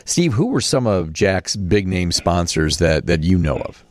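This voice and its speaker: A man's voice: sits low, big pitch swings, measured pace, no echo, studio quality. A man hosting a radio show, interviewing guests in a conversational style. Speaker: radio host.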